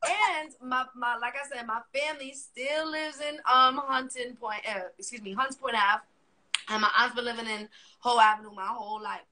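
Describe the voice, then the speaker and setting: Woman, young adult, New York accent, native Spanish speaker, animated guest chat, bright voice. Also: a little high, normal speed. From Miami, Florida. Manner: animated, swearing, acts out quotes. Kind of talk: casual interview chat.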